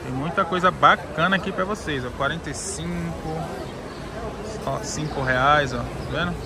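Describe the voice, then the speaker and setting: A man's voice: a little high, normal speed, a crowd in the background. A Brazilian man giving a casual, chatty walk-through as a vlogger at a market, talking informally and straight to viewers. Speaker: vlogger showing a plant market. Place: busy outdoor street market. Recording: noisy outdoor recording with street sounds.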